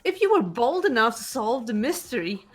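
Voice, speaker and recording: A woman's voice: fairly high pitch, big pitch swings, moderate pace, soft background music. A woman voicing a haughty, gloating young female mastermind in a fan-dubbed video game, grandiose and mocking. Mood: taunting.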